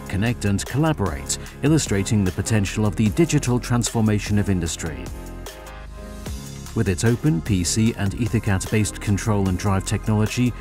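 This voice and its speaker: A man reading a scripted news voice-over in a measured, formal way. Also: fairly low pitch. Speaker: narrator. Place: studio voice-over. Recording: voice-over over loud music. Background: music.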